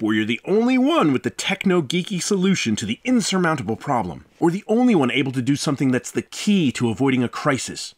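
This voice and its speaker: A male reviewer delivers scripted commentary in a mid-pitched voice that swings widely in pitch. His delivery is sarcastic and blunt, leaning theatrically on key words. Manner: sarcastic, crude, theatrically emphatic. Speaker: reviewer and host of a visual novel channel.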